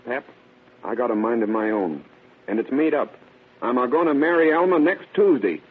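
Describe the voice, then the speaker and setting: A man's voice: medium pitch, lively intonation, moderate pace, lightly acted. An actor playing an earnest, defiant country son in his twenties with folksy speech in a radio drama. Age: 20s. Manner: folksy, plain country talk. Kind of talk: 1930s radio acting. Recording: tinny, narrow-band vintage radio recording.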